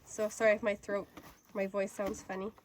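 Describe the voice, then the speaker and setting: A woman's voice: mid-range voice, little pitch movement, moderate pace. Woman with a cold, tractor roaring behind. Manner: chatty and explanatory, a bit self-deprecating. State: has a cold. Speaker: farmer showing new equipment. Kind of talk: casual explanatory vlog chat. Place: outdoors on a hay field. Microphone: handheld camera she films with. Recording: loud tractor engine noise behind.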